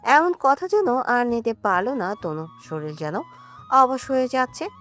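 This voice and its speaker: High, swooping woman narrating a love story. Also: very animated, measured pace, a bit bright. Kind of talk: dramatic scripted narration of a romance story. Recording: music under the voice.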